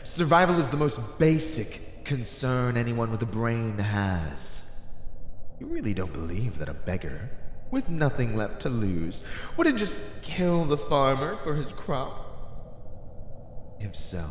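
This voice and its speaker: A man's voice: medium pitch, very animated, slow, reverberant, long reverb tail, a little hiss or hum. A man playing an arrogant, cynical fantasy villain in a theatrical roleplay, mocking and condescending as he draws out words for emphasis. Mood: taunting, condescending.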